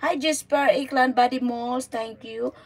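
A woman's voice: sits high, steady tone, measured pace, gravelly, darkish tone. A woman in her forties with a Filipino accent, chatting casually and giggling on a live stream while dyeing her hair, speaking into a phone held at arm's length in a small room. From Philippines. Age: 40s. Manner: giggly, chatty, mixing in Tagalog words. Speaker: channel host. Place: indoors in a small RV.

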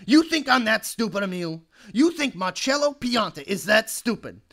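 A man's voice: high-pitched, very animated, normal speed, vocal fry, fairly bright tone, heavily acted. A man playing a fretful, hot-tempered uncle who manages a young boxer, scolding in working-class street slang in a crime drama. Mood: furious. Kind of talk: period crime drama acting.

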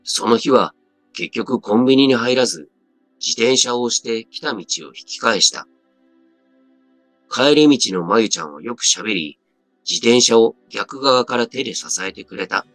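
A man's voice: medium pitch, unhurried, vocal fry, fairly bright tone, no room sound. A man reading a scripted first-person story as a dramatic narrator. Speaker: story reader. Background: background music.

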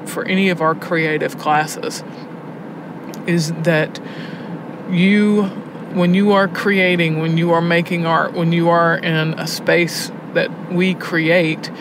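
A woman educator speaking earnestly in an interview, emphatic and deliberate, repeating phrases. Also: fairly low pitch, background noise. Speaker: prison arts program educator.

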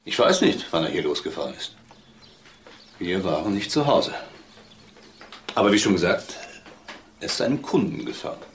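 A grieving father in a crime drama. His voice is low and gruff. A little noise sits behind the scene. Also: very animated, moderate pace, long pauses. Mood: subdued. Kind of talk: German TV crime drama acting.

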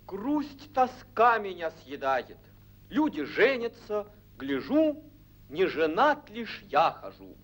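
An actor playing a yearning young fairy-tale prince in a 1940s cartoon, reciting lyrical verse. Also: male voice, high-pitched, wildly swooping pitch, slow, fairly bright tone, heavily acted. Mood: wistful. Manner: lyrical, declamatory verse delivery. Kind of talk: 1940s animated fairy-tale film acting. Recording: old 1940s film soundtrack, music behind.

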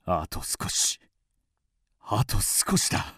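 A man's voice: slow, very noisy, natural voice. A man playing a loyal, driven master swordsmith, earnest and intense, in a radio drama. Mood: obsessed, weary.

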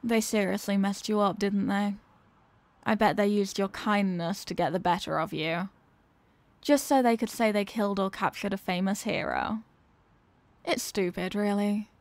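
A woman's voice: medium pitch, steady tone, moderate pace, no echo, close to an everyday voice. A woman voicing a dominant, mocking female villain in an ASMR roleplay, with a condescending, teasing, theatrical manner. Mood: teasing, scornful.

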